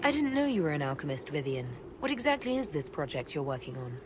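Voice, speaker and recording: Lowish, direct adventuring heroine. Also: female voice, sing-song, fast, noisy background. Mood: firm, questioning. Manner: direct, plain-spoken. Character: steady, businesslike female adventuring leader, frank and questioning. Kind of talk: video game dialogue acting.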